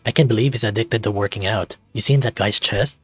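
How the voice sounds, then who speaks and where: A man's voice: on the low side, fairly quick, slightly rough, dry recording, faint music behind. A man voicing a young male dragon student in a visual novel, friendly, eager and easygoing. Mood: eager, casual.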